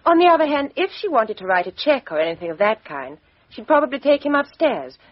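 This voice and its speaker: A woman playing a poised, frank young woman in a 1950s radio drama, crisp and emphatic, with a husky voice on a dull old recording. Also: high-pitched, sing-song, a little fast, dry recording. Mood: explaining. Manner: crisp, emphatic well-spoken delivery.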